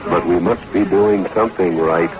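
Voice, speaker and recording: An American man narrating a 1960s radio commercial in a folksy, unhurried storytelling way. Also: low voice. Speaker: beer commercial announcer. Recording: muffled old radio broadcast. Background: music.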